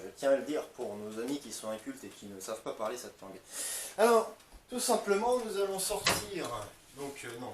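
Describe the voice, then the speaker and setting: A man's voice: mid-range voice, background noise. A male comic host presenting with mock seriousness in a pompous, self-assured way.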